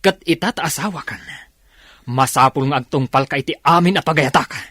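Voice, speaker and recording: An actor playing a domineering young husband in a radio drama, curt and husky, with an Ilocano Filipino accent. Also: male voice, a little high, fairly quick, bright, forceful tone, no room sound, studio quality. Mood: stern, angry.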